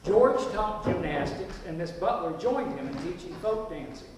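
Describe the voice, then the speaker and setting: A man's voice: sits high, expressive, normal speed. A man playing the storytelling narrator of a historical stage play, addressing an audience in scripted lines. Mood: recounting. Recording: echoey hall from far off, faint noise.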